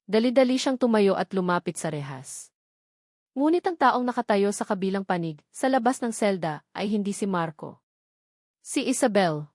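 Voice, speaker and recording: A Filipino woman narrates a scripted drama with measured, emotive phrasing. Her voice sits at a middle pitch for a woman. The sound is very dry, with hardly any room around it. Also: lively intonation, a little mellow, no echo. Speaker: story narrator.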